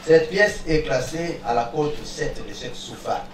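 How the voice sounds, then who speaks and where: A man speaking as a prosecutor in court, formally and deliberately itemizing evidence with emphasis. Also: a little high. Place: large indoor courtroom. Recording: echoey courtroom, distant mic, faint noise.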